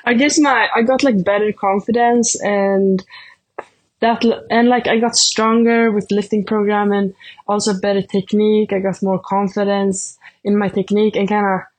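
A woman's voice: medium pitch, level tone, moderate pace. A woman in her twenties with a Swedish accent, Swedish native speaker, answering interview questions casually and hesitantly, full of fillers. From Sweden. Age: 20s. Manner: hesitant, many fillers like "like" and "I don't know". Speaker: athlete guest.

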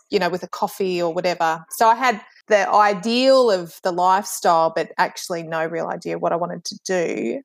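An Australian woman with a fairly low voice talks easily as a podcast guest. She is chatty and self-deprecating, and she often laughs at herself between stories. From northern New South Wales, Australia. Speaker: guest, writer and editor. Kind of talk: casual interview chat.

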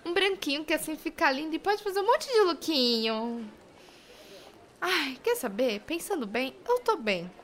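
A bubbly young professional, acted by a woman with a Brazilian accent and a very high, bright voice. She sounds like a self-questioning audio drama heroine, with faint ambience behind her. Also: sing-song, normal speed, a little hiss or hum. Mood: astonished. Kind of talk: audio drama dialogue and monologue.

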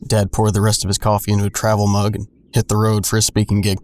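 A man's voice: on the low side, completely flat delivery, quick pace, a little mellow, a little hiss or hum, close to an everyday voice. An actor playing a man who narrates his own troubled story in a first-person audio drama. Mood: measured. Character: adult man recounting his troubled past. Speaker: narrator and main character.

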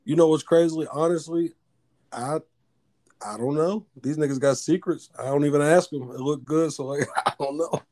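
A man with a deep voice, a rapper guest in casual interview chat, slangy and swearing freely. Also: steady tone, moderate pace, a little mellow.

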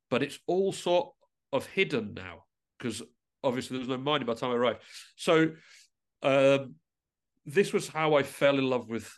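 A man from England talking as an interview guest in a casual, reflective and discursive way. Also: medium pitch.

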